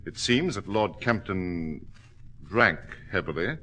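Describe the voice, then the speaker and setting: An actor playing a smug, self-possessed, accusing gentleman with a suave, formal manner in a 1940s radio drama. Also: deep voice, animated, slow pace, exaggerated voice. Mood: insinuating. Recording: muffled vintage radio recording.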